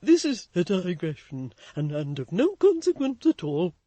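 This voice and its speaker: A woman voicing an awkward young gentleman who stammers and loses his words. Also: mid-range voice, animated, slow, dry recording, pitched above the performer's own voice. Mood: flustered.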